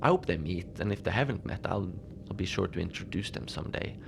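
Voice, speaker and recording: Gravelly, low-voiced lone night-shift station manager, played by a man. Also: lively intonation, noisy background, exaggerated voice. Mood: musing, mild. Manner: halting, rambling, understated, apologetic. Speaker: podcast fiction narrator, lone facility manager on radio. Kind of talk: cozy audio drama monologue, casual and confiding.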